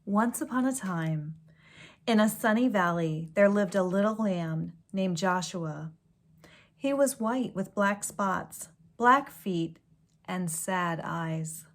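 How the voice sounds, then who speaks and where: A woman reading a children's storybook aloud like a teacher, with expressive delivery and gentle asides. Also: medium pitch. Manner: slow, deliberate, expressive storytelling.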